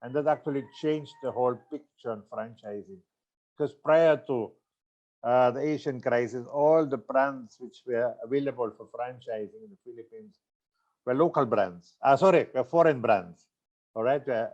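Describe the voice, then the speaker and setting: Older man from Austria, seminar presenter, mid pitch, halting with fillers, slightly dull lo-fi audio. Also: a little mellow. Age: 60s. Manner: loose, halting delivery with many fillers and self-corrections. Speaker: franchise consultant giving a seminar.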